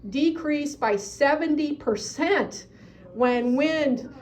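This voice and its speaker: A woman's voice: high-pitched, slow, heavy background noise. A woman speaking earnestly at a county meeting, pressing officials to act. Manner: earnest, persuasive advocacy. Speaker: citizen addressing county supervisors. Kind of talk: public comment at a meeting.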